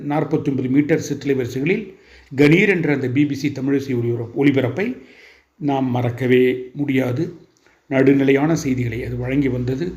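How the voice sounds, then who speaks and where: A man hosting an informational radio-style programme, speaking formally and deliberately in short phrases with pauses between them. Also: on the high side, a little mellow. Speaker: host of a radio hobby programme.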